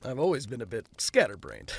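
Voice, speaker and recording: An actor playing a flippant, self-mocking rich playboy in an audio drama. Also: male voice, mid-range voice, huge pitch swings, normal speed, creaky, husky, no echo, exaggerated voice. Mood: breezy. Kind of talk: fan audio drama acting.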